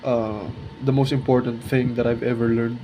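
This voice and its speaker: A twenty-year-old man talking casually and reflectively to his viewers in a vlog, halting and correcting himself as he searches for words. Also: medium pitch, flat delivery, noisy background. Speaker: art video creator.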